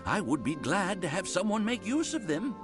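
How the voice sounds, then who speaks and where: An actor playing an eager, fawning landlord in a video game who gets flustered and laughs nervously. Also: male voice, high voice, big pitch swings, moderate pace, over music. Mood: pleased. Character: eager, fawning male landlord, middle-aged.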